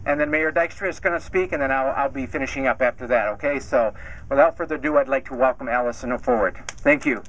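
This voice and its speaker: Male emcee, casual friendly intros, halting delivery, faint street noise behind. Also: medium pitch, a bit bright, poor audio. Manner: friendly, hesitant, many "uh" fillers. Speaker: nonprofit executive director hosting the event. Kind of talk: informal event introductions. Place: outdoors at a house build site.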